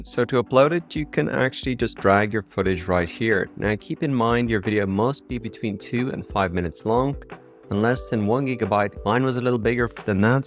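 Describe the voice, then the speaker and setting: An Irish man hosting a casual software tutorial in an enthusiastic way. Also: on the low side. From Ireland. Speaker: tutorial video host.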